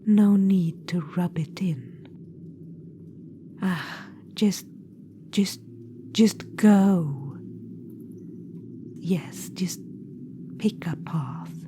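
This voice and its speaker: A woman's voice: fairly low pitch, very slow, long pauses, slight background noise. A woman voicing a vain, imperious undead witch queen in a whispered ASMR roleplay, teasing and condescending toward the listener. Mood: bickering. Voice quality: soft whispering.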